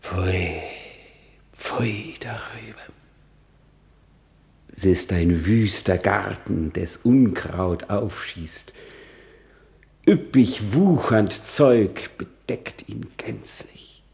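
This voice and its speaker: An actor playing a grieving, bitter young prince in a classical radio drama, with deliberate declamation that moves between hushed and forceful. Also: male voice, lowish voice, creaky, heavily acted. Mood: anguished, disgusted. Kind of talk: classical German stage-verse radio drama acting.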